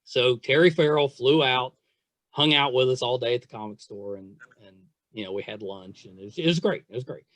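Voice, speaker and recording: A middle-aged man hosting a podcast, chatting and telling stories in an excitable, effusive way, repeating phrases for emphasis. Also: medium pitch, brisk, no echo. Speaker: podcast host interviewing a guest. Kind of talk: casual chat, storytelling.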